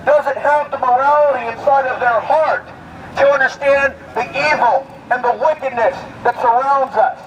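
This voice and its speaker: A man's voice: very high-pitched, steady tone, normal speed. An American man giving an impassioned, emphatic political speech at an outdoor protest, declaiming through a megaphone. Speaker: protest speaker. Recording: muffled megaphone audio, clear outdoor street noise.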